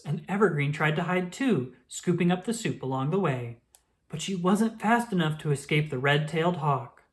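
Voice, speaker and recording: A woman reading a children's story aloud as scripted narration, in an expressive storytelling style. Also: low-pitched.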